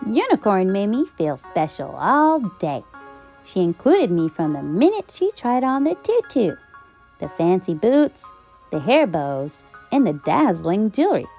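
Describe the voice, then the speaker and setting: A woman narrating a children's story in an expressive, theatrical and emphatic storytime style. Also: mid-range voice, dull audio. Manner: theatrical, emphatic, drawn-out delivery. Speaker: children's storybook read-aloud host. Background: background music.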